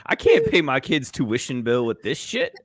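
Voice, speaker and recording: A man's voice: mid-range voice, wildly swooping pitch, normal speed, fairly bright tone, no room sound, studio quality. A man bantering casually about sports as a show panelist, ranting in a sarcastic, profane, exaggerating way.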